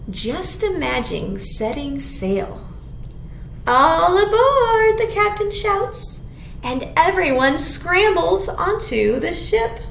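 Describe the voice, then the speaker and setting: A woman with a very high voice leads a children's storytime at an unhurried pace. She speaks expressively to young listeners, with some creak in her voice. The small room adds echo. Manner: expressive, clearly addressing young children, with simple questions. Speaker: children's librarian leading storytime. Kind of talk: animated children's picture-book read-aloud. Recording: echoey small room, background hiss.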